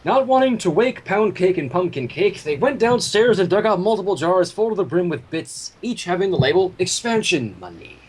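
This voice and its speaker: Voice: medium pitch, sing-song, moderate pace, hardly any pauses, a little mellow, breathy edge. A person reading a fan story aloud and breaking off into casual commentary. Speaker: fan fiction reader.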